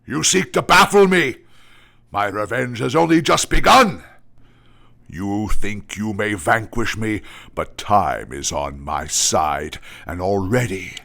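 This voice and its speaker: Menacing vampire lord, really low and slow, imperious, radio play villain. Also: male voice, sing-song, gravelly, sharp tone, heavily acted. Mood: vengeful. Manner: imperious, drawn-out, theatrical threats.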